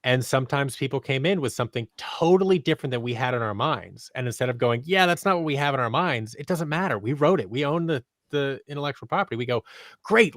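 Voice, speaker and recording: A man in his thirties giving conversational interview answers as a podcast guest, talking in a halting, rambling way that often interrupts itself. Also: mid-range voice, fairly bright tone, no echo, studio quality. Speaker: podcast guest, audio drama creator. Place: small home office, indoors. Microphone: close boom-arm microphone on a video call.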